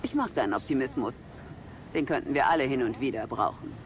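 A man's voice: mid-range voice, animated, quick pace, a little hiss or hum, close to an everyday voice. A man playing a composed, serious former Jedi mentor in a German audio drama. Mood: encouraging.

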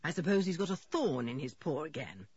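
An impatient, scornful father played by a woman in a storybook reading. He snaps brusquely with a husky edge and sharp swings of pitch, on a slightly muffled recording. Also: very animated, brisk, no echo, full character voice. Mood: irritated. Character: irritable, impatient, dismissive father. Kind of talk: audiobook character voice.

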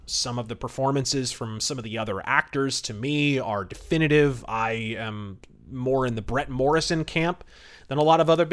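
A man hosting a podcast, giving casual, enthusiastic commentary in an emphatic, conversational way. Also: medium pitch. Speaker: podcast host.

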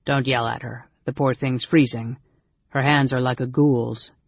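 A performer voicing a big, gentle, slow-witted male warrior with an awkward, hesitant, good-natured manner, in a fantasy story. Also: mid-range voice, steady tone, gravelly, no echo, lo-fi sound. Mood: gentle, concerned.

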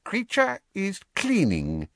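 A man voicing a muttering, servile and spiteful old male house elf, hoarse and croaky, in an audiobook. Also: high voice, huge pitch swings, takes it slowly, a bit bright, no echo, clean studio sound, voice pushed up, heavily acted. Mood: muttering, wondering. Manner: muttering, self-pitying, talks of himself in third person.

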